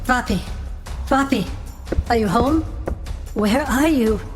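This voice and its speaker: A woman's voice: a little high, slow. A woman playing a frightened young apprentice in a fantasy audio drama, pleading anxiously. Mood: alarmed, calling out. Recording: long reverberant hall, music behind.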